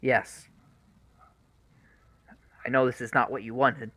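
A man's voice: medium pitch, level tone, takes it slowly, bright, forceful tone, no room sound. Grown son for radio drama, played by a man: earnest, apologetic, hesitant, dry close sound. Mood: pleading, determined. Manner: earnest, hesitant, repeating words. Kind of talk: radio play acting.

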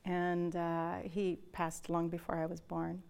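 A woman's voice: lowish voice, completely flat delivery, measured pace, vocal fry, a little mellow, smooth. A woman in her thirties from Brazil, a guest in a studio interview, telling stories in a chatty, easy way on a lapel mic. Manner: chatty storyteller, laughs often. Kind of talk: casual interview chat. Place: dark television studio.

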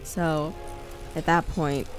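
A woman playing a curious, gentle confidante who asks questions in a sci-fi audio drama. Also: sits low, fairly even intonation, takes it slowly, music in the background.

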